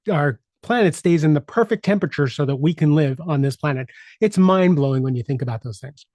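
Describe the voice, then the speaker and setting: A man's voice: a little high, warm tone, dry recording, clean studio sound. A man in his sixties hosting a solo podcast, talking reflectively, earnestly and candidly as a coach. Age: 60s. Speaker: podcast host and leadership coach.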